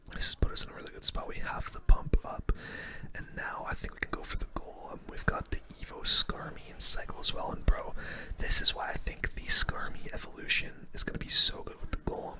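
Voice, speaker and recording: A person giving ASMR gameplay commentary in a breathy whisper, using casual gamer slang. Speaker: gaming video host.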